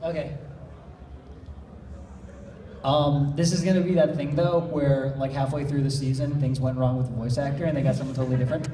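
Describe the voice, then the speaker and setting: A man's voice: mid-range voice, steady tone, a little fast, pauses often, mellow, recorded from a distance, slight background noise. A man bantering casually and reading fan fiction aloud at a convention panel, joking as he goes. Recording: echoey convention panel room, off-mic.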